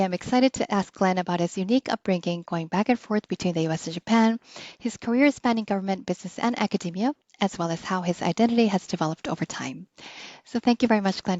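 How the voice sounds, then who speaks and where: A woman's voice: mid-range voice, a little mellow, dry recording. Courteous woman hosting, slightly dull recording. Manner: courteous, formal. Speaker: podcast interviewer, host. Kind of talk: polite, prepared interview hosting.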